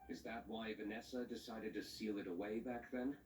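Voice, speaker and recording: An actor playing a cool, skeptical noble vigilante in a game, formal, terse and aloof. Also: male voice, fairly low pitch, steady tone, moderate pace, a little mellow, roomy sound, recorded from a distance, a little hiss or hum, close to an everyday voice, poor audio. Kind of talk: scripted game dialogue.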